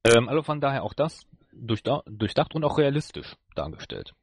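A man co-hosting a podcast, casually reviewing an episode in a halting way as he searches for words. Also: medium pitch, big pitch swings, no room sound. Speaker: podcast co-host.

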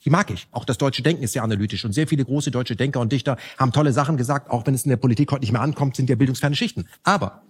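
Male panel guest, quick and emphatic, rough-edged voice, big reverberant room. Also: medium pitch. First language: German. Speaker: talk show guest. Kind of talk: opinionated panel discussion. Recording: reverberant hall.

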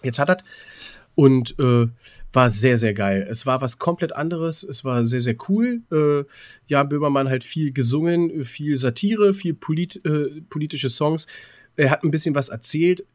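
A man's voice: on the high side, lively intonation, unhurried, dry recording, clean studio sound. A man co-hosting a podcast, chatting casually in rambling anecdotes and often hesitating as he searches for words. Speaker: podcast co-host.

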